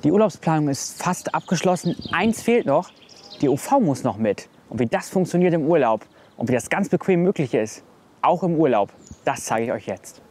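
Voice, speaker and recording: A man's voice: fairly high pitch, expressive, normal speed, a little mellow. A man in his twenties delivering a scripted promotional explainer. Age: 20s. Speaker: presenter of a service tip.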